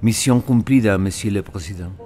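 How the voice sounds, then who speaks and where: An actor playing a polite, reserved French diplomatic envoy in an audio drama, with a husky, mellow voice. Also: male voice, fairly low pitch, normal speed, soft background music, lightly acted. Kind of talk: scripted audio drama dialogue.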